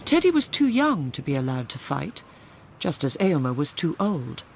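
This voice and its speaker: An Englishwoman narrating a radio drama in a dry, measured, literary storytelling manner. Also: deep voice, big pitch swings, a little hiss or hum.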